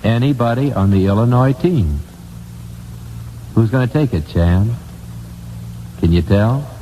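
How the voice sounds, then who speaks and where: An American man hosting a live 1950s radio quiz, putting questions deliberately and repeating key phrases for emphasis on an old broadcast recording. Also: mid-range voice, expressive, dark tone. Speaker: quiz show host. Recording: old radio broadcast, hall reverb, hiss.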